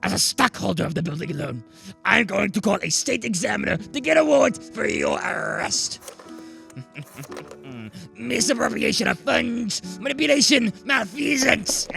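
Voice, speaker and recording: A man playing a gloating, sneering old miser and banker in a live radio play, taunting in a creaky, strained voice. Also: sits high, lively intonation, moderate pace, pushed and bright, faint music behind, heavily acted. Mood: gloating, scornful.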